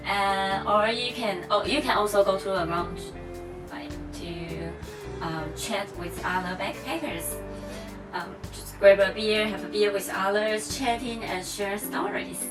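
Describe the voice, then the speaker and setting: Slow-talking chatty young woman vlogger. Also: mid-range voice. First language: Mandarin Chinese. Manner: chatty, encouraging, lots of fillers. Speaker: travel vlogger. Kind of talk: casual vlog talk to camera. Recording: music playing behind.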